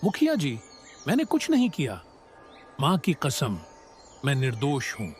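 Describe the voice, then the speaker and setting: A man's voice: medium pitch, huge pitch swings, unhurried, a little hiss or hum. A man playing an honest, forgiving young village farmer in a cartoon moral story, speaking earnestly and righteously. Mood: pleading. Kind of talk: animated moral story acting.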